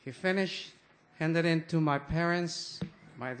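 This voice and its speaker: Older man, fairly high voice, slow, halting, restarts often, church talk. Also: a bit bright, slight background noise. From Mexico. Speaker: guest church speaker. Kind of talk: anecdotal sermon talk.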